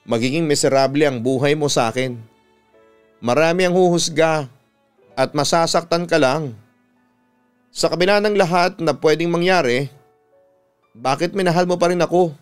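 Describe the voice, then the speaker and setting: A man's voice: fairly high pitch, normal speed, pauses often, smooth, faint music behind, close to an everyday voice. A Filipino man voicing an anguished, self-doubting woman who pleads emotionally, as in a radio drama. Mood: anguished pleading.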